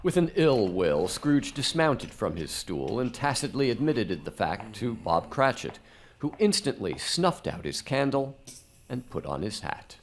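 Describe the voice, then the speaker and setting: A man narrates a radio play with very lively, varied intonation at a middle pitch. His style is dramatic storytelling, recorded live with faint noise behind him. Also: a little slow.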